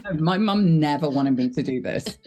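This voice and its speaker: A woman with a low voice, a voice actor chatting casually in an interview, thoughtful and self-deprecating. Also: animated, normal speed, dark tone. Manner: thoughtful, self-deprecating, laughs while talking. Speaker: voice actor guest.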